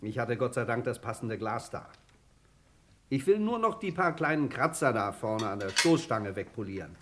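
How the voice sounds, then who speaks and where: A hard-working auto mechanic in a German radio crime drama. He sits at a middle pitch and talks plainly and obligingly. He comes across as modest and decent. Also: very animated, normal speed, fairly bright tone. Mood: matter-of-fact, helpful.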